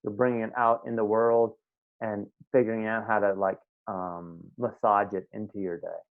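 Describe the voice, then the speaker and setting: A man's voice: fairly low pitch, little pitch movement, moderate pace. A man teaching a meditation class in a casual, conversational way, often checking that his students follow. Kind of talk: live online class teaching. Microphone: video call. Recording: tinny phone-like line.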